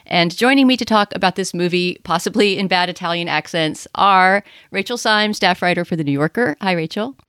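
A woman hosts a film podcast, speaking in a measured, wry way. Her voice sits a little low. It is recorded close and dry. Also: a bit bright, dry recording. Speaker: podcast host, film critic. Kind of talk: conversational podcast chat.

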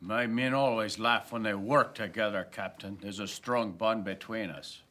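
An actor playing a gruff but fair and hearty colonial skipper in a historical audio drama, blunt and commanding in manner. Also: male voice, fairly low pitch, steady tone, moderate pace, sharp tone. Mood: firm, defiant.

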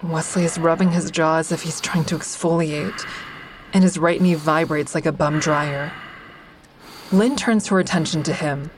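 A woman's voice: deep voice, steady tone, moderate pace, background noise, lightly acted. A woman playing an introspective therapy-group member who narrates her own story in a confiding, reflective way for an audio drama. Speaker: narrator and lead actor.

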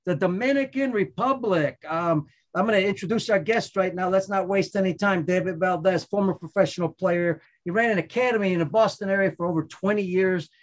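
Upbeat high-voiced podcast host man. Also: brisk. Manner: upbeat, rambling, restarts often. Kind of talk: casual chat.

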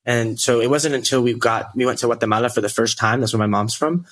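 A man's voice: medium pitch, fast talker, fairly bright tone. A man of twenty from the United States, a podcast guest, telling his story in an earnest way. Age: 20s. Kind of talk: casual interview talk.

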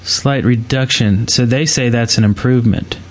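Regular guy cohosting a game podcast, mid pitch, just chatting casually. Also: steady tone, normal speed. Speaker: podcast co-host. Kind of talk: casual gaming podcast chat.

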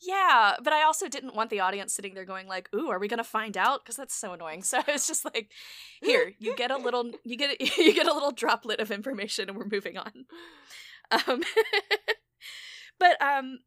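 A woman hosting a casual interview, hard of hearing herself, talking in an animated, expressive way with frequent laughter. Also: a little high, moderate pace, no room sound, studio quality. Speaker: podcast host and audio drama creator.

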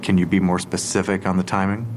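A low-voiced police investigator played by a man in a crime audio drama. He speaks in a businesslike, measured and probing way, with faint noise behind him. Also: flat delivery, lightly acted.

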